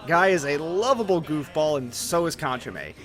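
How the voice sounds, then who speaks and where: A man's voice: on the high side, lively intonation, moderate pace. A man narrating a scripted video essay as its host, in a casual, conversational way with colloquial asides. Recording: music behind the voice. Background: music.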